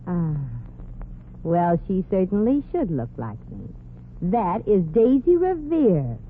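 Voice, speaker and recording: Brassy NY showgirl; wisecracks, lively swings, tinny vintage broadcast. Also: medium pitch, slow pace, slight background noise. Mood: wry. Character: wisecracking brassy young showgirl, streetwise and sarcastic. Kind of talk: 1940s radio comedy acting. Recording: tinny old radio broadcast.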